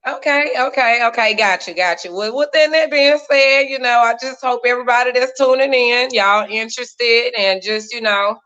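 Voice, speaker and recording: A woman in her thirties with a bright, forceful voice tells her story on a video call. She talks in an animated way, laughs often and drops churchy phrases. The stream sound is dull and lo-fi. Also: fairly high pitch, normal speed, hardly any pauses. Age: 30s. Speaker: guest. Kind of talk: casual chat.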